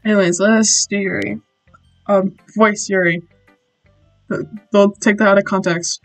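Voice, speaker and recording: A woman's voice: mid-range voice, fairly even intonation, moderate pace, soft background music, poor audio. A young woman streaming a game, chatty and casual, swearing and laughing at her own slips.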